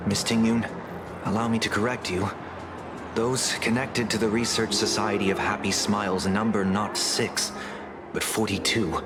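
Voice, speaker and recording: An actor playing a composed, perceptive male tuner in a game, speaking in a measured, formal, grave manner. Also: fairly low pitch, fairly even intonation, lightly acted. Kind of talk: scripted game dialogue. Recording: music behind the voice.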